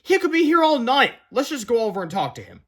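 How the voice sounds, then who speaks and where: A cheeky young schoolboy, played by a man reading a storybook, high in pitch. He talks casually and wisecracks like a born prankster. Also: very animated, quick pace, a bit bright, full character voice. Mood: cheeky, skeptical.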